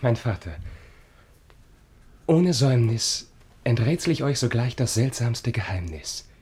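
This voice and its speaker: An ardent, slow-spoken young lover. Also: male voice, medium pitch, sing-song, darkish tone, slightly rough, exaggerated voice. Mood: ardent, explaining. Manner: formal, declamatory. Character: ardent young male lover, dutiful son. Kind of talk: German verse radio drama acting.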